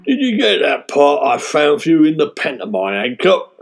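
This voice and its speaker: A man's voice: on the high side, big pitch swings, normal speed, gravelly, loud music behind the voice, over a noisy crowd. A man playing a wily, blunt, teasing theatrical agent in radio sketch comedy. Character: wily, blunt male theatrical agent, cheerfully needling and money-minded. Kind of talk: sketch comedy in the manner of 1950s British radio.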